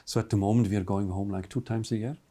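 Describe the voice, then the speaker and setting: A middle-aged man from Switzerland, a native Swiss German speaker with a Swiss German accent, answering interview questions in English in a relaxed, halting way with many fillers. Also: lowish voice. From Switzerland, near Bern. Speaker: hotel manager, guest.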